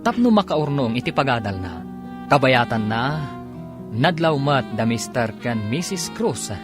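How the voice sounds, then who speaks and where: A man narrating a radio drama in an even storytelling manner. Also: medium pitch, measured pace. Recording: radio drama with music bed. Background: music.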